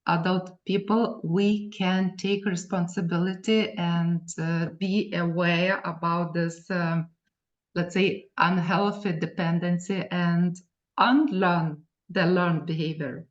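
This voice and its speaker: A woman's voice: fairly low pitch, steady tone, slow. A woman with a Lithuanian accent interviewing a guest, asking carefully while searching for words. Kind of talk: interview questions. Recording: dull lo-fi sound.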